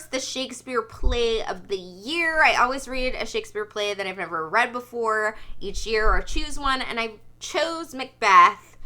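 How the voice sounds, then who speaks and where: A woman talking casually and chattily as a book vlogger, with emphatic, animated delivery. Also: fairly high pitch.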